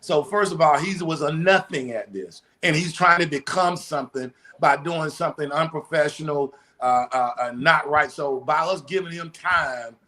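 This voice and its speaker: A man on a podcast, making his point in a measured, deliberate way in casual discussion. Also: a little high, pushed and bright. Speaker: podcast co-host.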